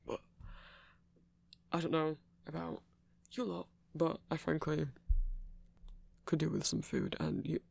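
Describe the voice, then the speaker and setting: A husky, gravelly werewolf, acted by a man in a romantic ASMR audio drama. He is grumpy and protective, grumbling at his partners while plainly caring for them. The sound is close and dry. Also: fairly high pitch, animated, takes it slowly, long pauses, no room sound, exaggerated voice. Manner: grumbling, scolding yet caring. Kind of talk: intimate ASMR roleplay acting.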